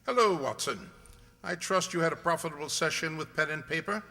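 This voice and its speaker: A man playing a brisk, inquisitive gentleman detective in a radio mystery play, speaking precisely and formally. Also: on the high side, lively intonation, gravelly, heavily acted. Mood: brisk, genial. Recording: reverberant hall-like sound.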